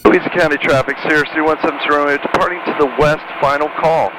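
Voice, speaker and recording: A man's voice: fairly high pitch, fairly even intonation, fast. A man working as a pilot, talking through procedures and radio calls in a matter-of-fact way on a headset. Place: small airplane cockpit. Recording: noisy small-plane cockpit, engine hum.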